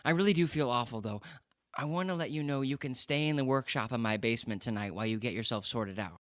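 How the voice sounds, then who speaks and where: A man playing a smug, breezy scientist in an audio drama, glib and quick to justify himself. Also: medium pitch, fairly bright tone, no room sound, studio quality, close to an everyday voice. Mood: glib, apologetic.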